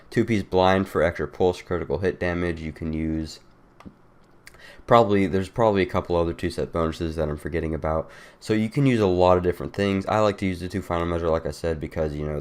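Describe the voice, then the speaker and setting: A young man with a congested, nasal voice from a cold, casually walking through a game build with loose, rambling talk full of fillers. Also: low-pitched. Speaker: gaming YouTuber. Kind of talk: casual game guide walkthrough.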